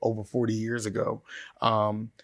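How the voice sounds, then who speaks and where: A man's voice: lowish voice, monotone, normal speed, gravelly, fairly bright tone. A middle-aged man talking as a singer-songwriter guest in a casual interview, telling stories in colloquial phrasing.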